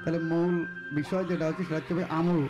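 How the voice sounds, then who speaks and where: A man's voice: on the high side, level tone, fast. A man, a guest folk healer explaining things instructively and repeating his points. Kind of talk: explanatory interview talk. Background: music.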